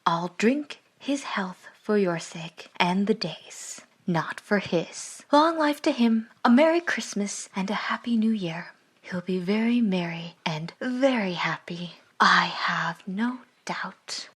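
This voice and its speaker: A woman playing an indignant, sharp-tongued wife and mother in a classic radio drama, scornful and sarcastic. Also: mid-range voice, expressive, slow pace, breathy edge. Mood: grudging, sarcastic.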